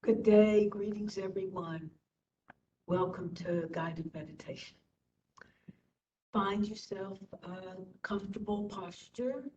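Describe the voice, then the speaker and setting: A woman instructor leading a guided meditation in short phrases with long pauses. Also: mellow. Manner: slow, pausing between short phrases. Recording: distant mic, dull, faint rumbling noise.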